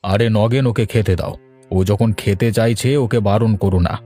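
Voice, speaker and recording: Male actor as generous village gentleman, low mellow voice, unhurried, placating, cartoon dialogue, music behind. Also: steady tone. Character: generous, easygoing village gentleman hosting a grand feast. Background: background music.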